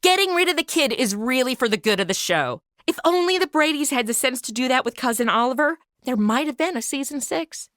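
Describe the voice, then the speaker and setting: A woman's voice: high voice, lively intonation, brisk, hardly any pauses, fairly bright tone, no room sound, clean studio sound. A woman playing a sarcastic wisecracking young woman. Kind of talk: comedy audio drama acting.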